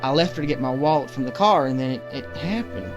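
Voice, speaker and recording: A man's voice: medium pitch, lively intonation, fast, over music, lightly acted. A man acting in an audio drama as a concerned, urgent young man with a serious, direct manner. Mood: grave, urgent.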